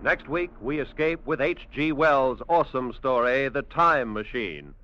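Animated American old-time radio announcer, male. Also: mid-range voice, unhurried. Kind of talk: 1950s scripted radio announcing. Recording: muffled vintage radio broadcast. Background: music.

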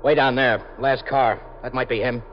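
An actor as a tense police lieutenant. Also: male voice, medium pitch, steady tone, moderate pace, close to an everyday voice. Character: police lieutenant Matt at a stakeout. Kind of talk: 1950s radio drama acting. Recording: noisy lo-fi 1950s radio recording.

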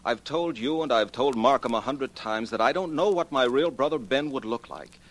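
An actor playing a restless, defensive heir under suspicion, emphatic and protesting, in 1940s radio style. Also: male voice, medium pitch, lively intonation, normal speed. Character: restless, defensive wealthy heir, a male suspect. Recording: noisy old 1940s radio recording.

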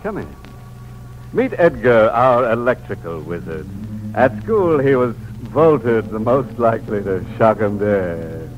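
A macabre host of an old radio mystery, relishing every morbid line. His low voice rises and falls theatrically, over music on a muffled vintage broadcast. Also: sing-song. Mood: macabre glee. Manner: theatrical, drawn-out punch lines. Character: ghoulish, gleefully morbid male horror show host. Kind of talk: 1940s radio horror hosting, tongue-in-cheek.

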